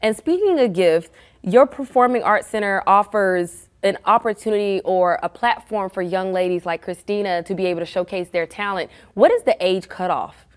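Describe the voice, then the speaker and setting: A woman's voice: mid-range voice, moderate pace, clear, resonant tone. A middle-aged woman hosting an upbeat lifestyle TV interview in a studio, effusive and encouraging. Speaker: TV show host. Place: small indoor TV studio. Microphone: lapel mic.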